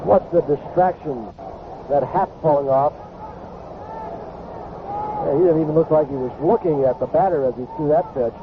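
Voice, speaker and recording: A tinny, noisy old radio broadcast carries a male baseball color commentator. His voice is high for a man, and he analyzes play in a conversational, opinionated and emphatic way. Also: moderate pace, long pauses.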